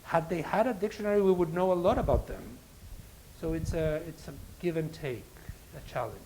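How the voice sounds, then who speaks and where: A man professor speaking in a public academic conversation, reflective, pausing with restarts and fillers. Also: a little high, dark tone, a little hiss or hum, poor audio. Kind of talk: academic panel talk.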